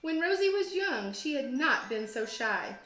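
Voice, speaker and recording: A woman with a slightly high voice reads a children's story aloud like a teacher. Her phrasing is expressive and deliberate. The room is clearly echoey, with music playing behind her. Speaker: teacher, guest read-aloud host. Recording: roomy echo, music behind. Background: storybook app music.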